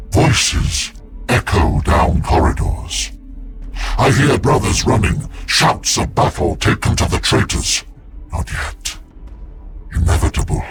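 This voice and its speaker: A tormented seer-soldier from a sci-fi war game, with music loud behind him. He speaks very slowly and breathily, halting between cryptic, portentous phrases. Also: mid-range voice. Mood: grim foreboding. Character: haunted, visionary psychic space marine, tormented and cryptic. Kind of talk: dramatic game voice acting.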